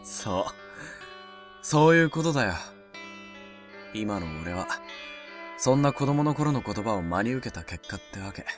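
A man playing an earnest, wistful high school boy in a youth romance voice drama. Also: lively intonation, unhurried, pauses often. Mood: wistful confession. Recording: music behind.

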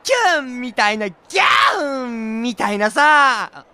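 A man playing a chatty, cheeky, lovestruck high school boy in a youth comedy audio drama. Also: measured pace, clean tone, raised voice, heavily acted. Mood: excited, smitten. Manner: animated, casual slangy talk. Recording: noisy ambience behind.

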